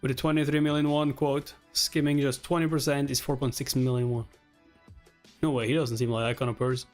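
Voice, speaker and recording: A man's voice: flat delivery, brisk, a little mellow, soft background music. A man reading webtoon dialogue and captions aloud, acting out the lines dramatically as he goes. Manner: acts out each line, shifting pitch between characters. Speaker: reaction channel host reading a webtoon aloud.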